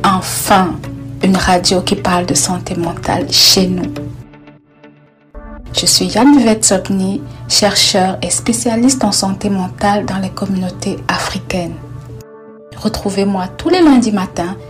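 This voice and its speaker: A woman's voice: medium pitch, measured pace. A woman presenting a scripted promo for a radio show. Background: music bed.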